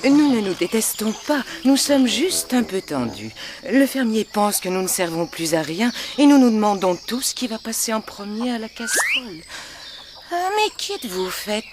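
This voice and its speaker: A woman's voice: a little high, big pitch swings, moderate pace, noisy background. A woman playing an old, world-weary farm cat in a cartoon dub, wry, hesitant and plaintive.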